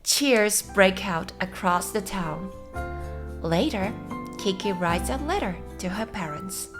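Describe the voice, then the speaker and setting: A woman who is a native Japanese speaker, softly and carefully reading a story aloud in a slow, measured way for English learners. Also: medium pitch, lively intonation. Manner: soft, measured, careful phrasing. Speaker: English teacher and channel host. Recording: music behind the voice. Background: background music.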